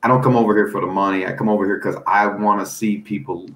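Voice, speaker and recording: A middle-aged American man, a football coach and analyst, telling stories in an animated, folksy way and laughing along. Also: fairly low pitch, fast, echoey room. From United States. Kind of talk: casual storytelling on a podcast.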